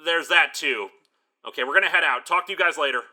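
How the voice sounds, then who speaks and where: A man's voice: on the high side, fast, pushed and bright, a little hiss or hum. A man playing the take-charge leader of a group of friends in an amateur horror comedy, speaking emphatically and giving directions. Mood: urgent, practical.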